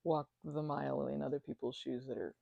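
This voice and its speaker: A woman playing a chatty, supportive, slightly weary housemate in an intimate ASMR roleplay, rambling and hesitant in a soft, playful, conversational way. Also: very deep voice, steady tone, brisk, vocal fry, no echo, highs cut off, full character voice. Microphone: close microphone, dry.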